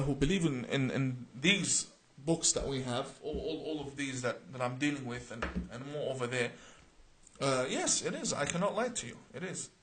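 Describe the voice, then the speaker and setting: A fairly high-voiced man from Iraq hosts a live stream, reading viewer names and questions before answering. He talks earnestly and emphatically, stumbling over repeated words and uh's as he works through his answers. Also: a bit bright, breathy edge, rough recording.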